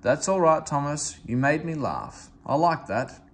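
A man's voice: on the high side, lively intonation, a little slow, voice pushed up. A man voicing a big, proud male railway engine in a storybook, pompous, grand and hearty. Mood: grand, friendly.

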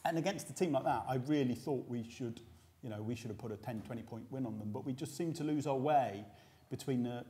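Host: British man, 40s, mellow measured tone, calmly breaks down the game. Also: mid-range voice. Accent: British English. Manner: measured, explanatory. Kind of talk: post-match analysis chat. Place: small indoor room before a sponsor backdrop.

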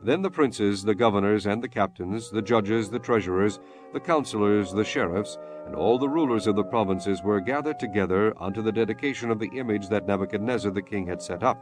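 A man narrating scripture from a script in a measured, formal manner. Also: lowish voice, over music.

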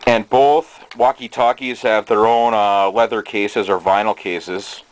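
A man with a fairly low voice talks casually, as if showing items to viewers at home. His delivery is halting and hesitant. It is an amateur indoor camera recording with noticeable background noise. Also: steady tone, low-quality recording. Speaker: hobbyist presenter. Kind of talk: casual show-and-tell of collected gadgets. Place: small cluttered bedroom indoors. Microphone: handheld camera's built-in microphone.

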